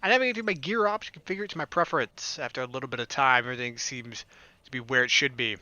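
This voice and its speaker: A man's voice: medium pitch, quick pace, pushed and bright, no echo. A man reading a visual novel aloud for a let's play, jokey and playful in his narration. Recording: game music behind.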